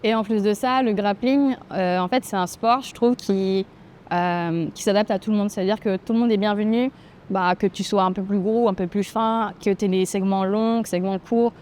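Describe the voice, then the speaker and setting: A young woman from French Guiana speaks in a mellow, warm tone at a middle pitch. She answers interview questions thoughtfully, hesitating often and filling pauses as she gathers her words. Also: fairly even intonation, slight background noise. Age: 20s. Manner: thoughtful, hesitant, frequent fillers. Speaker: grappling athlete interviewed. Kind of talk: documentary interview.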